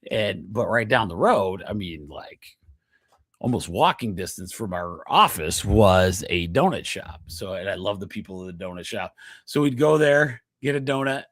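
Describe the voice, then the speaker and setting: Nasal, husky-voiced chatty man. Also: fairly low pitch, animated, normal speed, a bit bright, no room sound, studio quality. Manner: chatty, digressive, laughs often, checks in with "okay?". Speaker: chiropractor podcast host. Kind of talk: casual health talk.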